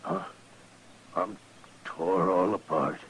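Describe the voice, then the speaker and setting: An actor playing a frightened, dying homesteader in an old-time radio western, weak and gasping in agony. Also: male voice, sits low, slow, fairly bright tone, slight background noise, full character voice. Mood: agonized, fading. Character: dying, gut-shot male homesteader, frightened.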